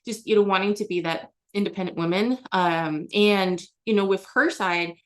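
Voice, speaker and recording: A young woman talks easily as an interview guest, filling her sentences with "you know" and quick restarts. Her voice sits at an ordinary middle pitch, relaxed and conversational. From Germany. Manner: chatty, frequent fillers and restarts, laughs often. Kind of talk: casual interview answers.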